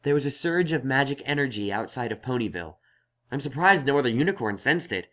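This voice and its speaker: Man voicing an earnest young unicorn scholar, medium-pitched. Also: animated, quick pace, a bit bright, lightly acted. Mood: explaining. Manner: thoughtful, explanatory. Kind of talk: acted audio story dialogue.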